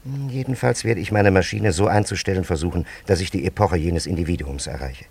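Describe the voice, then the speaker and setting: An actor playing a dignified, pleasure-loving time traveller from an ancient land in a radio drama, with a gravelly, husky voice and lofty, formal diction. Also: male voice, low-pitched, brisk, a little mellow, full character voice. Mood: confiding, suspicious. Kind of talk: German radio drama acting.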